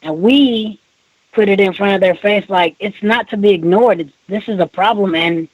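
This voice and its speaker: A man's voice: high-pitched, moderate pace, no room sound, lo-fi sound. A man talks as a guest in a casual interview. His delivery is conversational, and the recording is very dry and close.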